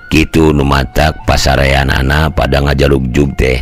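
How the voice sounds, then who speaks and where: A man's voice: very deep voice, little pitch movement, normal speed, faint music behind. An older man with a West Javan Sundanese accent, telling a folk tale in a colloquial, conversational way. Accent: Sundanese-speaking West Java. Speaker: storyteller. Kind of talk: traditional folk tale narration with acted dialogue.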